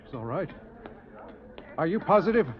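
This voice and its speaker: A man's voice: sits high, big pitch swings, moderate pace. An actor playing an urgent, emotional airline captain in an old-time radio drama, pleading for news of his wife. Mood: anxious. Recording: muffled old radio broadcast, heavy noise.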